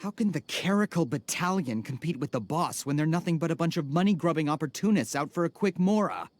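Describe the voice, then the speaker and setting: A man's voice: a little high, expressive, brisk, natural voice. An actor playing an arrogant, menacing gang henchman in a video game, sneering and boastful. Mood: scornful.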